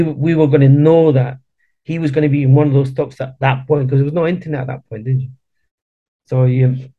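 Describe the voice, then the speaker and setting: A man in his fifties who was born in Chile talks through his family history in a relaxed interview. He often stops, repeats words and restarts. His voice sits at an ordinary middle pitch, and the recording sounds a little dull and lo-fi. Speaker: guest. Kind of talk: casual interview chat.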